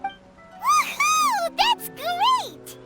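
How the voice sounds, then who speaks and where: A tiny, cheeky fairy companion from a video game. The voice is squeaky and extremely high, leaping all over in excitable exclamations, with music playing clearly behind it. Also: female voice, very slow, full character voice. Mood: overjoyed. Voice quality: squeaky, childlike. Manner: refers to self in third person, exclamatory. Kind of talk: English game voice acting.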